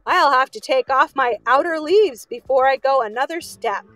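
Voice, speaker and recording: A woman's voice: high voice, moderate pace, fairly bright tone, no room sound, faint music behind. A woman voicing a very stout, friendly talking cabbage from a children's story, hearty and exclaiming. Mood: huffy, exasperated.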